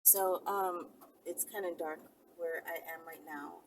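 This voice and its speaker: A woman's voice: fairly low pitch, fairly even intonation, a little slow, a little hiss or hum, poor audio. A woman video host chatting casually and rambling to viewers in a blunt, emphatic, profane, conversational way.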